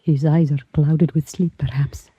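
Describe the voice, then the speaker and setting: An actress playing a mysterious foreign woman in an old radio adventure, bold and flattering, speaking in formal, exotic phrases. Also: sits low, unhurried, breathy edge, no echo. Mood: tense, watchful. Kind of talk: 1940s-50s radio adventure acting. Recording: muffled old-time radio recording.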